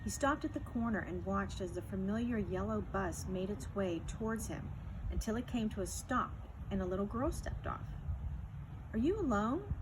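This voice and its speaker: A woman's voice: medium pitch, normal speed. A woman reading a scripted story aloud as its narrator. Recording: clearly noisy background ambience.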